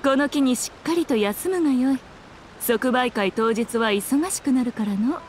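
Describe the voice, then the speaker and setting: A woman's voice: sits high, normal speed, slight background noise, natural voice. A woman voicing a sly, self-assured fox shrine maiden in a Japanese anime game, speaking in a lordly, archaic, teasing manner. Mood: commanding, reassuring.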